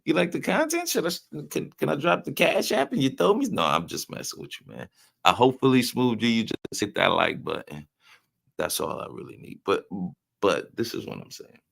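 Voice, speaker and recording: A man's voice: medium pitch, wildly swooping pitch, fairly quick, gravelly, a bit bright. A man hosting a casual livestream, chatting loosely and joking with viewers in the chat. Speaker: livestream host. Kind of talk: casual live-stream commentary, reading chat.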